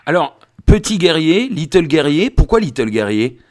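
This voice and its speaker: A man's voice: fairly high pitch, sing-song, moderate pace. A man interviewing a musician, asking short questions and adding brief affirmations as he listens.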